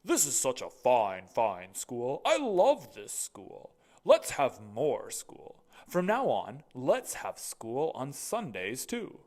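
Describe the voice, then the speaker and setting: A performer voicing a proud, overenthusiastic male school principal in a storybook, with grand, drawn-out exclamations. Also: medium pitch, wildly swooping pitch, darkish tone, exaggerated voice.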